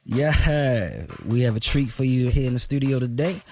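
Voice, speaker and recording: A man playing an enthusiastic, teasing local radio host in an audio drama, with an informal, slangy, halting delivery. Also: mid-range voice, very noisy, natural voice. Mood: welcoming, showy.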